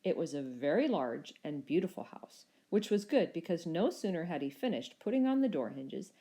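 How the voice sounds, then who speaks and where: A woman storyteller narrating a children's story in an expressive, scripted style. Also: fairly low pitch, moderate pace.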